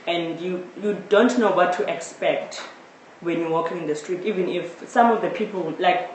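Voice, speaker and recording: A woman's voice: on the low side, moderate pace, recorded from a distance. A woman with a South African accent giving a personal account as a guest in a live interview. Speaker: interviewee, audio drama maker. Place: echoey indoor space, live-streamed discussion. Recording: very echoey room, faint noise.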